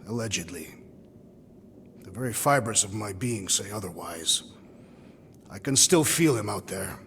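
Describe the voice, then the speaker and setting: An actor playing a solemn, proud alien warrior in a video game cutscene, speaking formally and gravely. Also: male voice, lowish voice, animated, measured pace, a bit bright, in a large hall, a little hiss or hum. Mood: grim. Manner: formal, grave, literal-minded diction.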